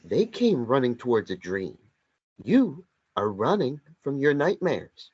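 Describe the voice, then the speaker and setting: A man playing a blunt, sardonic companion, lively pitch. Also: measured pace. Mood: accusing. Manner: dry, blunt, confrontational. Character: blunt, sardonic companion who needles a captive. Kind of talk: script table read. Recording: dull lo-fi sound.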